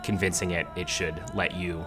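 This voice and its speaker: A man speaking as a mock-documentary interviewee, with a deadpan air of authority. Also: low-pitched, normal speed, music in the background. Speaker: comedian talking head.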